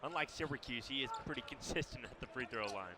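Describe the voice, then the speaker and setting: A man's voice: medium pitch, expressive, fast. A man giving conversational color commentary on a radio basketball broadcast. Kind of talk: radio sports analysis. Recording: noisy arena with bouncing basketballs.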